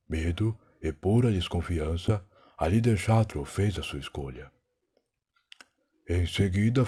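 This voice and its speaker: Proud, weary time traveler played by a man, Brazilian accent, low husky voice, solemn dramatic narration for an audio drama. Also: darkish tone, heavily acted. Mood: grave, challenging. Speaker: narrator and lead character. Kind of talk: dramatic first-person scripted narration.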